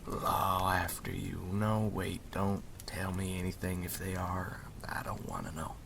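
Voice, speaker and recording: A man playing a folksy, hospitable wandering story collector in an ASMR roleplay, drawling in a breathy half-whisper. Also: low voice, flat delivery, a little slow, noisy background. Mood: wry, easygoing.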